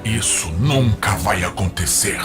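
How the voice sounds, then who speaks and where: Casting: male actor as looming demon master, low and imperious, scornful fantasy audio-drama villain over heavy score. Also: a little slow. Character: menacing commanding demon lord, male, looming and contemptuous. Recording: loud dramatic music behind.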